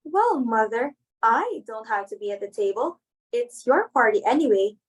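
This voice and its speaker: Woman playing a high-voiced, timid young daughter. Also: sing-song, normal speed, slightly rough. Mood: plaintive, reluctant. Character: reluctant, timid young unmarried society daughter. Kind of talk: amateur dramatic reading of a stage comedy.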